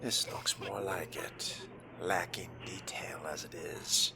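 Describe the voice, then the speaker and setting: A man's voice: sits low, steady tone, slow pace, vocal fry, whispered. A man role-playing through a game in character, reading and commenting in a formal, arch, often murmured way. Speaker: game player narrating in character.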